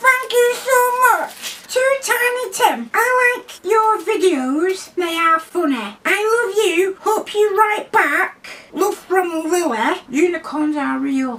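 An extremely high, squeaky little boy for playful vlogs. He is cheeky and gushing, with childlike excitement and a helium-like tone. The voice sits slightly away from the microphone. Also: recorded from a distance, a little hiss or hum, heavily acted. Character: squeaky, excitable little boy character opening fan mail. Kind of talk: playful unboxing and letter reading.

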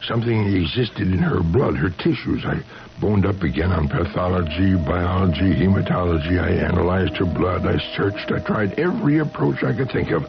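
An actor playing a troubled, earnest older husband and scientist with agitated, halting delivery, in 1970s radio drama style. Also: male voice, low voice, lively intonation, vocal fry. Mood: weary, confessing. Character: troubled chemical engineer, desperate devoted older husband. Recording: muffled 1970s radio recording.

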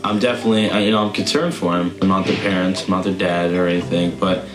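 A young male cadet talks in a measured, reflective way in a documentary interview. His voice sits a little low and rings in an echoey room, with music running underneath. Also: level tone. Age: young adult. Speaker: battalion commander cadet.

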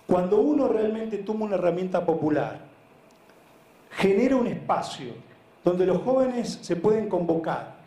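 A man's voice: high voice, warm tone. A man with a Buenos Aires accent giving an informal conference talk, earnest and emphatic, often repeating words and checking in with listeners. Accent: Argentine, Buenos Aires. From Argentina. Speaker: speaker, head of a social sports foundation. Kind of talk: conference presentation, informal storytelling. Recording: echoey room, live talk.

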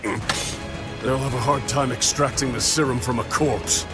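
An actor playing a grim, defiant space marine in a video game, speaking in a terse, serious way. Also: male voice, mid-range voice, steady tone, unhurried, loud music behind the voice, close to an everyday voice.

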